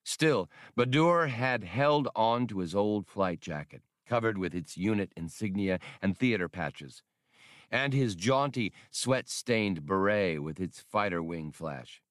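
A man's voice: on the low side, animated, a little slow, fairly bright tone, dry recording, studio quality. A man reading adventure fiction aloud as a scripted audiobook narration.

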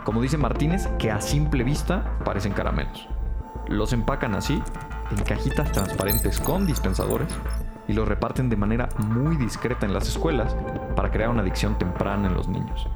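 An actor playing a wry, tech-savvy police analyst briefing detectives in an audio drama. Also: male voice, fairly low pitch, animated, normal speed, loud music behind the voice. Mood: wry, informative.

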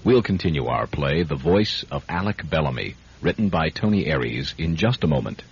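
A man's voice: very deep voice, sing-song, moderate pace, slightly rough. A man announcing a radio drama in a scripted style. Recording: dull radio recording with music.

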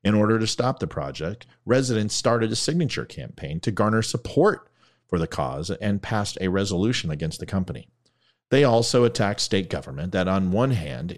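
A man reading out facts in a commentary video, adding offhand jokey asides and stumbling over foreign names. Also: low voice.

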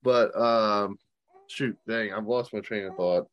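A man's voice: on the low side, slow, no echo, dull audio. A man co-hosting a casual podcast over an online call, rambling and tentative. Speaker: podcast co-host.

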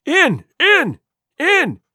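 Guy doing a mad blind pirate beggar from a storybook, barking orders, loud and high, swoops around. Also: very animated, normal speed, dry recording, studio quality, full character voice. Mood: furious, commanding. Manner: shouting, cursing, imperious. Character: blind villainous old pirate beggar, furious, commanding.

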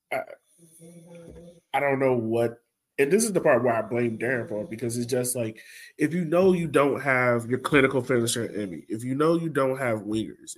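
A man co-hosting a podcast with casual, emphatic sports commentary, exasperated and often checking his point with the listener. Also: mid-range voice. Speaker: podcast co-host.

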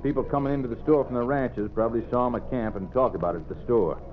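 A man's voice: mid-range voice, fast talker, soft background music, close to an everyday voice. An actor playing a sly, menacing, folksy Old West henchman with a Western drawl in an old radio drama. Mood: worried. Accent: American Western drawl. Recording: dull old radio recording.

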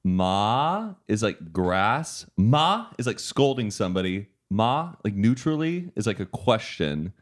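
A man's voice: fairly low pitch, slow, hardly any pauses. A young man from Texas chatting casually, asking lots of questions and peppering his talk with "like". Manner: animated, quizzing the others, frequent "like". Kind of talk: casual chat.

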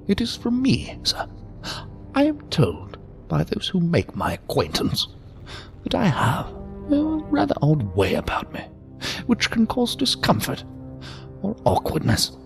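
Weird polite old alley philosopher, croaky voice, super swoopy and formal, audio drama w/ faint music. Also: male voice, fairly high pitch, exaggerated voice. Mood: politely apologetic. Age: older. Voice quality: creaky, gravelly, breathy at times. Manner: formal, ornate, deferential, theatrically sing-song. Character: odd, courteous, philosophical old man lurking in an alley.

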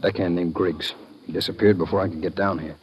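An actor playing a matter-of-fact undercover agent in an old-time radio drama, casual and conspiratorial. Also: male voice, deep voice, steady tone, quick pace, darkish tone, muffled recording, close to an everyday voice. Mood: confiding, serious. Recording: old radio recording with crowd murmur. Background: crowd murmur.

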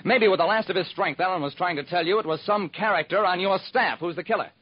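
A man's voice: high-pitched, quick pace, slightly rough. An actor playing a wry, hard-boiled private detective, narrating his case in clipped old-time radio style. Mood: probing. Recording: muffled vintage radio recording.

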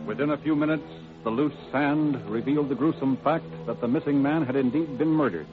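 An actor as the grave, formal narrator of an old-time radio true-crime dramatization. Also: male voice, medium pitch, a little fast, music in the background, lightly acted. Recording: tinny vintage radio recording.

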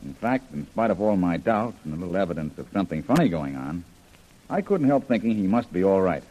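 An actor playing a rugged, wry adventurer hero who muses aloud, in the style of old-time radio drama. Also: male voice, deep voice, big pitch swings, fairly quick, dark tone, dull audio. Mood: wry, musing.

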